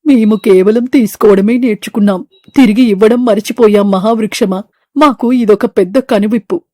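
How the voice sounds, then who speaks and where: A woman voicing a proud leader bird in an animated moral story, speaking in an emphatic, declamatory way. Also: medium pitch, normal speed, dry recording, studio quality, lightly acted. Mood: remorseful, pleading. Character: proud business-minded leader bird, later remorseful.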